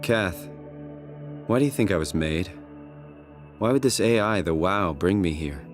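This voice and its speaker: A troubled, doubting everyman in a video game, with a low voice and a North American accent. He speaks naturally, hesitant and full of questions. Music plays clearly behind him. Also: lively intonation, measured pace. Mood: searching, uneasy. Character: anxious, doubting everyman lost in a hostile world.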